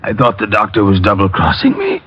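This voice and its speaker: An excitable cornered con man. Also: fairly low pitch, fairly quick, husky, heavily acted. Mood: defensive. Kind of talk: 1950s radio drama acting. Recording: dull old radio recording.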